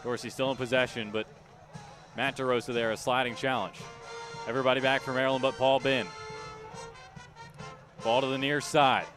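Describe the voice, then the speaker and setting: A man's voice: mid-range voice, flat delivery, moderate pace, long pauses, music in the background. A man doing live radio play-by-play of a soccer match, describing each touch in clipped, urgent, emphatic phrases. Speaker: play-by-play announcer.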